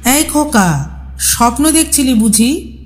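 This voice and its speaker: Woman voicing a slow-speaking, protective worried mother. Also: sits high, very animated, full character voice. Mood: concerned, irritated. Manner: coaxing, admonishing. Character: worried, scolding, protective mother of a college-age son. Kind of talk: audio horror story acting.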